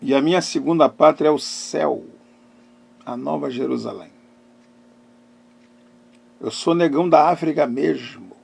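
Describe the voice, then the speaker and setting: High-pitched middle-aged man preaching. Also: a little slow, vocal fry, long pauses, darkish tone, husky. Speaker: pastor and therapist hosting a live talk. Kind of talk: preaching, personal testimony.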